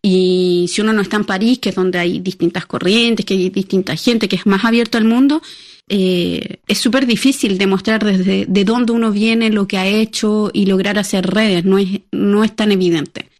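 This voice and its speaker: A woman's voice: medium pitch, fairly even intonation, moderate pace. A woman with a Chilean accent, speaking as a theatre-maker guest on a radio interview, conversational and hesitant, with fillers and restarts. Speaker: playwright and theatre director, guest.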